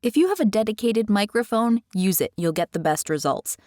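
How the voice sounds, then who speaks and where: Brief: animated American woman, dry close sound, tutorial host. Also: medium pitch, a little fast, no room sound. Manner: chatty, animated, curious. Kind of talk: casual explainer. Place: carpeted bedroom. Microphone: headset mic.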